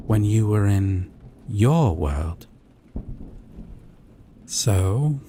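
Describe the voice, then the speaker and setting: Casting: man to voice tender, obsessive dating-sim boyfriend for audio roleplay. Lowish, slightly dark voice, lingering and teasing, faint storm ambience. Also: slight background noise, exaggerated voice. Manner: slow, lingering, coaxing, softly teasing. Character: gentle, obsessive male yandere dating-sim character, adult, tender, possessive. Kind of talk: intimate scripted audio roleplay.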